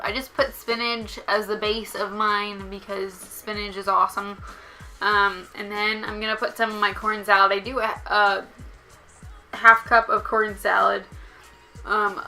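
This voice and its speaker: A woman's voice: medium pitch, pushed and bright. A young woman doing a casual voice-over about her meal prep at home, talking chattily with lots of likes and ums. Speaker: vlogger.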